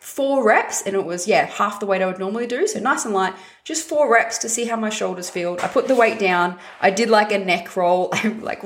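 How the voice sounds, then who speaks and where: Hoarse, husky woman hosting a casual fitness podcast from home; upbeat and blunt. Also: mid-range voice. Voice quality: raspy, husky. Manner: upbeat, direct, swears casually. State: hoarse from a chest infection. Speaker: podcast host and fitness coach.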